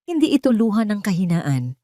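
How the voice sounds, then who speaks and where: A woman's voice: medium pitch, big pitch swings, fairly quick, dark tone, dry recording, studio quality, voice pushed up, lightly acted. A woman voicing a bitter, vengeful older man with a solemn, intense manner in an audio drama. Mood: bitter resolve.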